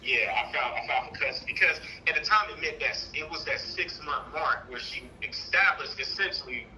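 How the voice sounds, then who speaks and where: American guy on a call rambling through his story, really sharp bright voice, little bit of background noise. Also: a little high, brisk, rough recording. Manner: rambling, frequent "you know". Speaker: caller, father seeking custody. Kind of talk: casual personal storytelling.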